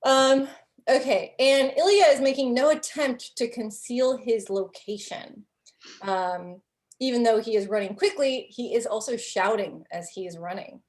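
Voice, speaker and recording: A woman's voice: fairly high pitch, a little slow, darkish tone. A young adult woman narrating as game master in a casual way, full of "like" and "um" fillers.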